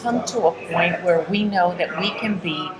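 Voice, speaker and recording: Earnest low-pitched woman from Texas. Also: normal speed. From Texas, United States. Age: middle-aged. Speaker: interview guest, entrepreneur. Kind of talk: conversational interview answers. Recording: busy crowd chatter behind. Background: crowd murmur.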